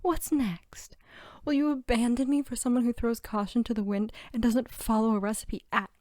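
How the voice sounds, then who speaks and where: A woman playing a sweet, bookish, playful archivist girlfriend in an intimate ASMR roleplay, soft-spoken and teasing. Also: fairly high pitch, steady tone, moderate pace, no room sound, natural voice. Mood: playful, mock-offended. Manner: soft-spoken, often near whisper, giggly, teasing.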